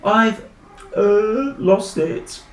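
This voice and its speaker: A man's voice: sits high, big pitch swings, measured pace, echoey room, a little hiss or hum, pitched above the performer's own voice. A man voicing a troubled, stubborn young boy in a children's storybook, plaintive and hesitant. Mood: guilty stammering. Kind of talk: children's storybook character reading.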